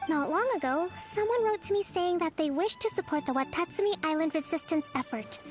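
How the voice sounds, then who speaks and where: Young female commander, high voice, formal, measured, earnest, caring, game dialogue, light music behind. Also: animated. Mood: composed. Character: young female resistance leader, composed, caring and strategic. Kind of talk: scripted video game dialogue.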